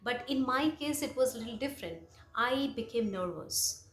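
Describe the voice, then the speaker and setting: A woman's voice: on the high side, animated, moderate pace. A young woman from India with an Indian English accent, whose first language is Bengali, telling her own story in a reflective, musing way with many fillers and self-corrections. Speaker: language vlogger. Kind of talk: personal storytelling. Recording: roomy with faint ambient noise.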